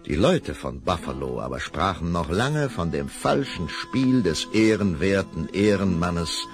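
A man narrating a radio play in calm, measured tones. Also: sits low, over music. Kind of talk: scripted narration.

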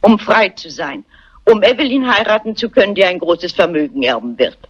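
An actress playing a shrewd, unflappable elderly lady amateur sleuth in a crime film, polite and matter-of-fact, with a husky voice. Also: mid-range voice, expressive, fairly quick. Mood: accusing, composed. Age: older. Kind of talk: German dubbed crime film dialogue. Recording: dull, muffled old film soundtrack.

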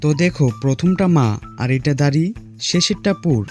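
A man's voice: on the high side, moderate pace, over music. A man narrating a scripted puzzle quiz as host, speaking directly to viewers.

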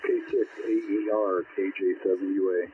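An American man chats at ease as a radio operator. His voice is very low, and it comes through a dull signal with noticeable static. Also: little pitch movement, unhurried. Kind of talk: casual radio chat.

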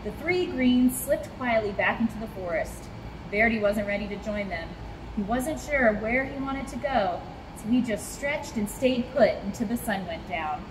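A young woman reads a picture book to children in an expressive, fairly high voice. She is recorded a short way from the camera, with clear ambient noise around her. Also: normal speed, big-room sound. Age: young adult. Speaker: zoo staff storyteller. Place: in front of a rock wall at a zoo.

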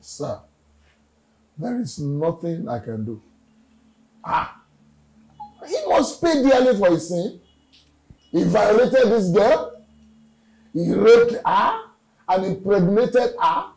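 A stern, accusing male police officer with a Nigerian accent. His voice sits high for a man. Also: sing-song, slow, long pauses, darkish tone, exaggerated voice, poor audio.

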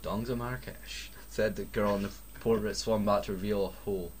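A man's voice: on the low side, fairly even intonation, brisk, a little hiss or hum. A Scottish man reading fan fiction aloud mockingly, breaking off to swear and exclaim in casual banter. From Scotland. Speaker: reader and commentator.

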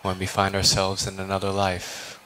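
A man guides a meditation in a low, flat voice. He is calm and contemplative, leaving long pauses between deliberate phrases. Some ambient noise sits behind him. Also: low-quality recording. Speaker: meditation teacher, Buddhist monk.

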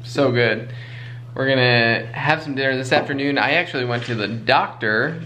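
A man's voice: mid-range voice, normal speed, slight background noise. A man in his thirties, a vlog co-host, chatting casually and a bit ramblingly at his kitchen table. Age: 30s. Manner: relaxed, rambling, restarts his sentences. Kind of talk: casual chat.

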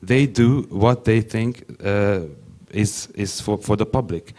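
A young man whose first language is Hungarian, speaking English haltingly on a conference panel into a handheld mic, with many restarts. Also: on the low side, a little mellow. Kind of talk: panel discussion.

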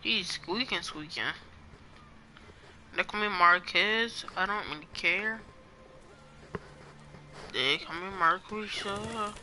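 A man's voice: high-pitched, slow pace, pauses often, pushed and bright, slight background noise. A young man giving casual, joking commentary while live-streaming a game, often repeating phrases in a sing-song way. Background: game music.

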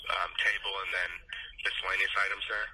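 A male call-center agent handling a customer service call over the telephone, polite and hesitant in a halting way. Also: low-pitched, flat delivery, creaky, a loud crowd. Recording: noisy telephone line recording.

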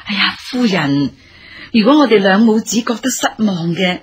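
An actress playing a humble, grateful elderly mother in a radio drama, husky and deferential. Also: mid-range voice, expressive, normal speed. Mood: humble, courteous. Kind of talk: Cantonese radio drama acting.

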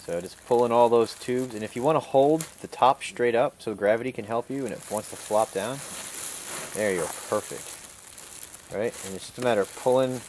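A man calmly instructing a student step by step during an outdoor survival skills demonstration, recorded on a camera mic. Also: fairly low pitch, a little hiss or hum. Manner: calm, instructive, talks through each step. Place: outdoors in a wooded clearing.

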